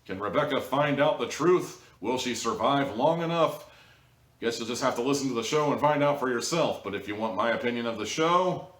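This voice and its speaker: A man's voice: mid-range voice, animated, fairly quick, fairly bright tone. A man giving a casual, humorous review to viewers, rambling along with wry asides and mock-dramatic emphasis. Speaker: audio drama reviewer, show host. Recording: roomy echo, distant mic.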